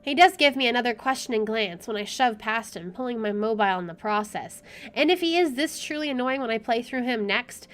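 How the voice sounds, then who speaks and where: A woman reading a visual novel aloud as a gamer, expressive and opinionated in her delivery. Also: fairly high pitch, brisk. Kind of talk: reading game narration aloud with casual commentary.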